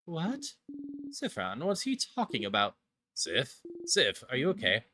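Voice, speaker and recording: A man's voice: medium pitch, wildly swooping pitch, a little slow, bright, forceful tone, no room sound, studio quality, pitched above the performer's own voice, full character voice. A man voicing an anxious young companion in a game, checking urgently on a friend. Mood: worried. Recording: music behind the voice.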